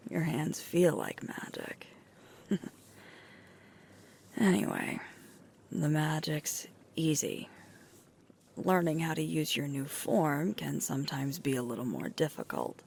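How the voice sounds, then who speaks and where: A woman playing a sweet, tired alpha werewolf girlfriend in an intimate roleplay ASMR, murmuring softly and breathily close to the microphone. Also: low-pitched, lively intonation, a little hiss or hum, exaggerated voice. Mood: soft, musing. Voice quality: breathy, creaky, often whispering. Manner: slow, soft, unhurried intimate murmur with pauses.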